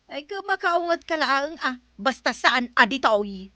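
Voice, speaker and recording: Comedy radio drama: evasive village woman, bright, higher-pitched, dodging and defending. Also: lively intonation, measured pace, no room sound, exaggerated voice. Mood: defensive.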